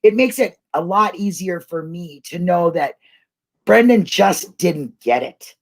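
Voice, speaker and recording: A woman's voice: fairly low pitch, animated, measured pace. Middle aged lady hosting a live chat show, rambles along super casual and folksy. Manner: chatty, colloquial, rambling. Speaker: host of an online talk show.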